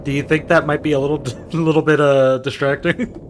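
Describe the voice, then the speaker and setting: A man's voice: fairly high pitch, level tone, moderate pace, a little hiss or hum. A man chatting casually over gameplay as a co-host, full of teasing, jokey banter. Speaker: let's play co-host. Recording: game music behind the voice. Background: video game music.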